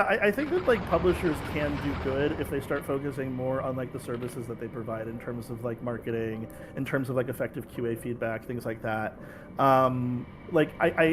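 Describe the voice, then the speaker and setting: A man's voice: mid-range voice, noisy background. A man from California talking casually in an interview as a game publisher, rambling with many fillers and restarts over a video call.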